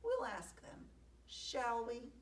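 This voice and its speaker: A woman voicing a sly, hungry male fox who schemes smoothly, in an echoey room. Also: a little high, big pitch swings, slow pace, distant mic, a little hiss or hum.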